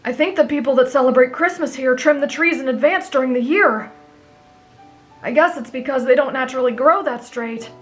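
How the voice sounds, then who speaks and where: A woman acts out a kind-hearted teenage anime boy with a high voice. He is polite and earnest, explaining things gently and patiently, with faint music behind him. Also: a little fast, lightly acted. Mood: gentle, patient. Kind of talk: anime fan roleplay audio drama.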